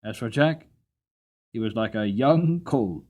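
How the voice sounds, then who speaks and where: A cheerful Victorian London cabman , acted by a man, hearty and kindly. He speaks in dramatic storybook style, with animated pitch that swings up and down. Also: slow pace. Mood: joyful.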